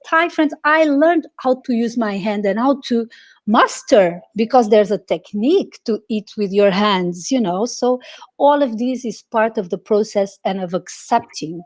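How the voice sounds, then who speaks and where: A woman from Portugal, an etiquette consultant, chatting on a video call with lively restarts. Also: a little high, a little slow. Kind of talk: casual video call chat.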